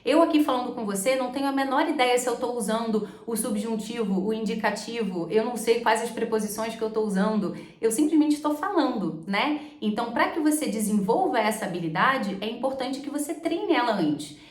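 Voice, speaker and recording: A Brazilian woman teaches to the camera in a fairly high, animated voice and keeps checking in with her listeners. Her voice rings around an echoey room. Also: brisk, few pauses. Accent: Brazilian Portuguese. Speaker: English teacher. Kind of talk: explaining to camera.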